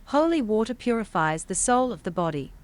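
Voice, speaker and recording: Actress as dry, animated monster expert woman. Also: medium pitch, fairly quick, natural voice. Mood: matter-of-fact. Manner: dry, exasperated, matter-of-fact. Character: weary, knowing female comic shop monster expert. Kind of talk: comedy horror audio drama acting.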